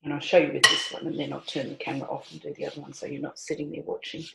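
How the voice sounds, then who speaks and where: Older woman, gravelly, homely cooking chat, echoey kitchen, counter camera. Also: low-pitched, level tone, racing. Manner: chatty, homely explanations while working. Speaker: cooking show host. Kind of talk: home cooking demonstration. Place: home kitchen indoors. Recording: echoey kitchen with clicks and noise.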